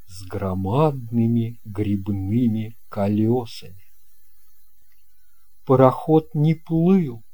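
A man narrating a children's story from a script in a measured, expressive storytelling manner. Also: mid-range voice. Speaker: audiobook narrator.

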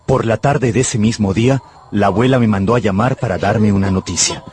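An actor playing an earnest, melancholy tutor who tells his own story in a measured, intimate way in a radio drama. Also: lowish voice, darkish tone, close to an everyday voice. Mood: sober. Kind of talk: first-person radio drama narration. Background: music behind the voice.

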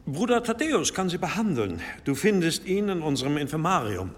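A man playing a courteous, unctuous monastery abbot with quiet authority in a radio drama, formal, measured and gently insistent. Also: fairly high pitch, big pitch swings, long reverb tail, slight background noise. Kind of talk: German radio drama acting.

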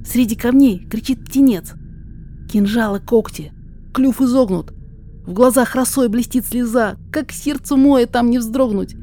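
Village herb gatherer and guide voiced by a woman, humble, measured verse storytelling for audio drama, faint background noise. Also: a little high, mellow, natural voice. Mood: dread, haunted. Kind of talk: rhymed verse drama reading.

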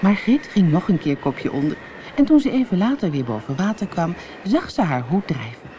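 A woman reading a scripted children's story as an expressive storybook narrator. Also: on the low side, normal speed, slight background noise. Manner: expressive, acts out dialogue.

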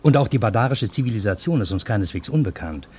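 An actor playing a cultured, courteous bookseller who narrates a German radio play in a measured, literary manner with a husky voice. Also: male voice, lowish voice, expressive, darkish tone, a little hiss or hum, natural voice. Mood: courteous, earnest. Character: cultured Parisian bookseller, courteous first-person narrator of strange tale.

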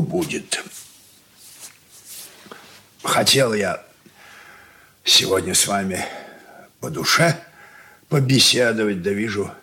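An actor playing an old merchant father in a radio drama, reproachful and weary, speaking haltingly and sorrowfully. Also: mid-range voice, pushed and bright, exaggerated voice. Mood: resigned. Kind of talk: Soviet radio play acting.

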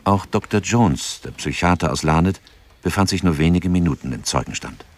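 A man's voice: sits low, animated, moderate pace, a little mellow. An actor as the sober male narrator of a true-crime radio drama, factual and restrained.

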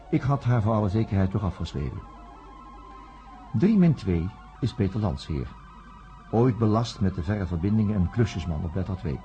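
Wry investigator narrator, very dark mellow voice, lowish, lively intonation, dull lo-fi sound, faint music. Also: male voice. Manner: wry, reflective, measured. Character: dry-witted male investigator narrating his case. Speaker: narrator of radio play. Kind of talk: scripted radio play narration.

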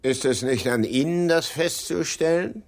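An actor playing a sly, guarded shopkeeper suspected of murder in a German radio drama, sardonic and deliberate. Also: male voice, fairly high pitch, expressive. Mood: sardonic, defiant.

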